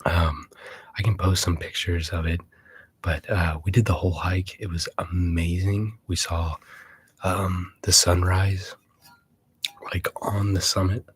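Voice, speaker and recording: A man hosting a casual ASMR livestream, chatting in a breathy whisper and rambling with hesitant pauses. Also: low-pitched, little pitch movement. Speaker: livestream host.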